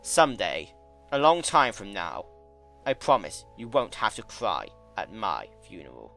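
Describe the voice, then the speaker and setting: A man voicing an aging male creature of chaos, smug, weary and tender, with deliberate, emphatic delivery in a dramatic story reading. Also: lowish voice, very animated, vocal fry, a bit bright, faint music behind, heavily acted. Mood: exasperated. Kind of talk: dramatic fan-fiction reading.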